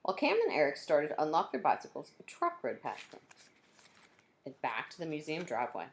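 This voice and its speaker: A woman's voice: sits low, wildly swooping pitch, fairly quick, vocal fry. A woman teacher reading a children's chapter book aloud, adding friendly asides to her young listeners. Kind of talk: children's read-aloud narration.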